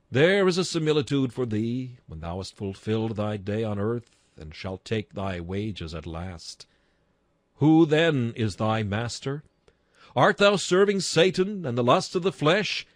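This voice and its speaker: A man's voice: mid-range voice, expressive, a little slow, dry recording. Solemn man reading a sermon, dry sound. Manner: solemn, exhortatory, rhetorical. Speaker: narrator reading a historical sermon. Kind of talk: dramatic read-aloud sermon in archaic English.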